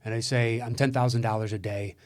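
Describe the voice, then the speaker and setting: A man's voice: medium pitch, fairly even intonation, a little fast, darkish tone. An American man with a mid-range voice talks casually as a podcast co-host. He is blunt and swears freely as he gives opinions and tells anecdotes in a conversational way. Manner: blunt, exasperated, frequently swearing. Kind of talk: casual film discussion and anecdotes.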